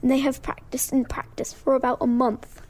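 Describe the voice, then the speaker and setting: Very high-voiced young girl narrator. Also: flat delivery, normal speed, darkish tone. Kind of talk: scripted amateur radio play narration.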